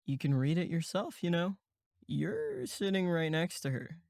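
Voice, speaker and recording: A woman acting in an audio drama as a sensible, sardonic young camp counselor with a measured, deadpan delivery. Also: fairly low pitch, gravelly, dry recording, studio quality, full character voice. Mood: exasperated, wry.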